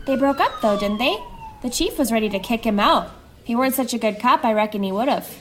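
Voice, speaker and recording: A woman's voice: on the high side, animated, brisk, a little hiss or hum, lightly acted. A woman playing a sassy, folksy, gossiping police officer in an audio drama, with a teasing manner. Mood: gossipy.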